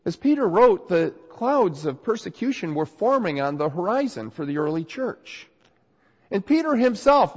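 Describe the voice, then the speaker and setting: A male pastor getting over a cold delivers an earnest sermon, his voice slightly nasal and raspy. He speaks slowly, with a pitch that swings animatedly on his points. The recording is dull and muffled, with long reverb from the hall around him. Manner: earnest, emphatic preaching. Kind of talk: sermon with scripture reading.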